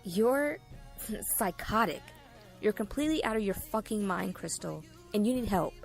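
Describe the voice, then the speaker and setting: A woman's voice: mid-range voice, lively intonation, unhurried, creaky, faint music behind. An actress playing an indignant young woman, somebody's sister, in audio drama dialogue. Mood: appalled, accusing.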